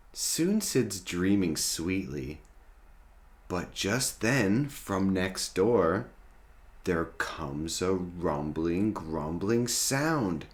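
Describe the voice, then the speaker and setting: Slow, animated man reading a storybook. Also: low-pitched. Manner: deliberate, dramatic storytelling with drawn-out key words. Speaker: host reading children's picture books aloud. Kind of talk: expressive children's storybook read-aloud.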